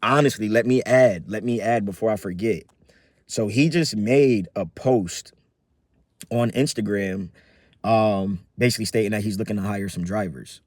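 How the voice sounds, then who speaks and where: A man in his thirties talks through a casual personal update, voice sitting a bit low. He rambles, filling gaps with ums and restarting his sentences, easygoing and upbeat. The sound is close and dry, with no room around it. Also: normal speed, no echo. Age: 30s. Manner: rambling, many fillers and restarts; relaxed, upbeat. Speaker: vlogger.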